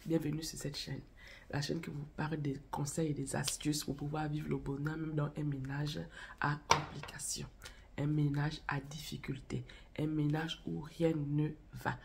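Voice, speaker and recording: A woman's voice: deep voice, little pitch movement, moderate pace, creaky, noisy background. A woman hosting a casual vlog, chatting directly to viewers and telling a story while she has a cold and a cough. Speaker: channel host. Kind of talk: casual spoken vlog on faith and marriage.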